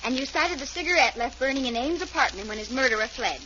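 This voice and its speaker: An admiring, obliging secretary in a vintage radio mystery. Her voice is very high and eager, heard through the dull, hissy sound of an old broadcast. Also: expressive, fast talker. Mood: dutiful. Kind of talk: old-time radio drama acting.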